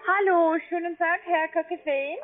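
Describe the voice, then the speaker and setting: A woman's voice: very high voice, steady tone, normal speed. A woman speaking as a call-centre agent, making a sales call on the phone. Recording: narrow tinny phone line.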